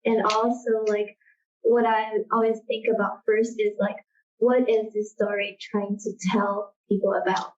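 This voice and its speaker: A woman's voice: mid-range voice, little pitch movement, unhurried, a little hiss or hum. A young woman asking a casual, tentative question from the audience at an author event. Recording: distant mic, dull lo-fi sound.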